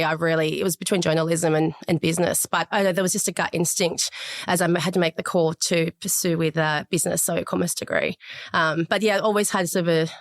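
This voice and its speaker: Low-voiced woman podcast guest. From Chile, raised in Australia. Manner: thoughtful, measured, with frequent fillers. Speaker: podcast guest, sales director. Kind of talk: conversational interview.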